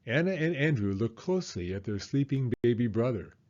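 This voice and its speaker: A man reading a children's story aloud as an animated narrator. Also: medium pitch, brisk, lo-fi sound.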